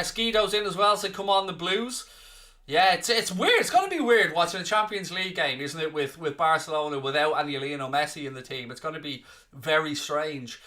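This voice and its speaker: Cheeky high-voiced Irish man. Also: pushed and bright. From southeast Ireland. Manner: cheeky, banters with and mocks the chat. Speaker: stand-in watchalong host. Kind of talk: casual live-stream chat reading the comments.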